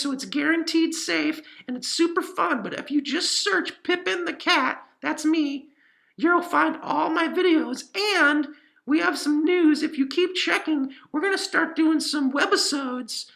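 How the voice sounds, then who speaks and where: A man voicing a friendly, excitable boy cat puppet who chats eagerly to children. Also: very high voice, creaky, pitched above the performer's own voice, exaggerated voice. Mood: cheerful, chatty. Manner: chatty, eager, addressing children.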